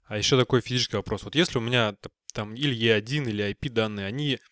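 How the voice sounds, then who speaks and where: Inquisitive man, mid-pitched, dry recording. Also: racing, a bit bright, no echo. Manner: inquisitive, informal. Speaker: podcast host asking questions. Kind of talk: casual technical discussion.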